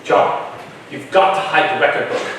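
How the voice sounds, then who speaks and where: An actor playing a principled, stubborn colonial record keeper in a staged drama, speaking formally and earnestly. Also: male voice, moderate pace, a bit bright, a little hiss or hum, lightly acted. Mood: urgent. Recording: very echoey hall, far from mic.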